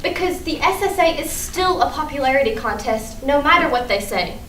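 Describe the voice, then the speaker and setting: Bright, blunt, guarded teenage girl played by a woman. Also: high-pitched, expressive, moderate pace. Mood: scornful. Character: proud, blunt, guarded high school girl. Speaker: actor in a staged reading. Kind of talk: staged play reading, teen dialogue. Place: indoor room at a staged reading. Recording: roomy, distant mic, faint noise.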